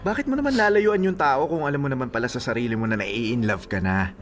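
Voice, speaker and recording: A man's voice: mid-range voice, expressive, fast talker, faint music behind, natural voice. A man acting a blunt young friend, teasing and exasperated, in radio drama dialogue.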